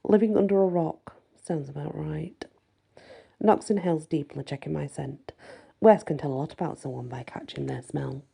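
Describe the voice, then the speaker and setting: Female ASMR story reader: very dark timbre, husky texture, first-person fantasy narration. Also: low-pitched, normal speed.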